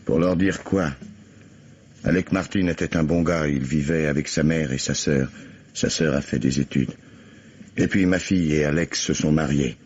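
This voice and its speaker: An actor playing a gruff, reluctant older father-in-law in a French mystery radio play, talking plainly and haltingly. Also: extremely low pitch, darkish tone. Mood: weary, plain. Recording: dull vintage radio recording.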